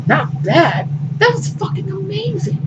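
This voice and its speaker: A woman's voice: very high-pitched, very animated, a little slow, voice pushed up, full character voice. A woman voicing a blunt, intense male lover in an amateur fan fiction reading, with terse dramatic delivery. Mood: awed. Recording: muffled, noisy, distant microphone.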